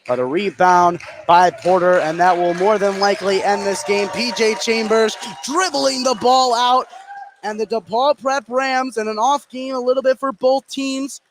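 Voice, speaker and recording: A man doing live play-by-play basketball commentary for radio from a high school gym. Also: fairly even intonation, normal speed, a little hiss or hum. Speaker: play-by-play sports announcer.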